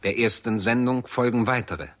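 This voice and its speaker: A man narrating a scripted 1960s radio play in a formal, measured manner. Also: medium pitch, a bit bright.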